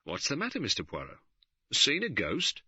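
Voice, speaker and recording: A man voicing a stolid, respectable middle-aged police superintendent who talks in a measured, dryly insistent way. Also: mid-range voice, wildly swooping pitch, bright, forceful tone, slightly rough, no room sound, voice pushed up, exaggerated voice. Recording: dull, dry studio recording.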